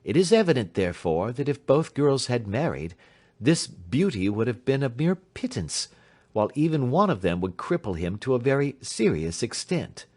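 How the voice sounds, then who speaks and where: A man voicing a cool, imperturbable gentleman detective in an audiobook, suave and precise, with quiet amusement. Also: medium pitch, big pitch swings, moderate pace, pitched above the performer's own voice. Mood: businesslike. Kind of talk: audiobook character acting.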